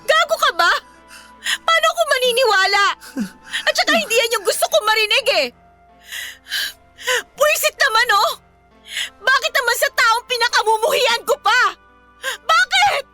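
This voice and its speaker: A woman's voice: very high-pitched, animated, moderate pace, long pauses, pushed and bright, faint music behind, exaggerated voice. An actress playing a jealous, combative young girlfriend in a Filipino radio drama, scolding with emphatic questions in a Filipino accent. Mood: betrayed, enraged.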